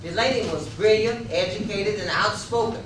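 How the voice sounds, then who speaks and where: A woman's voice: mid-range voice, normal speed. A woman reading scripted historical narration aloud as a narrator in a readers theater. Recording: distant, echoey hall, clear noise.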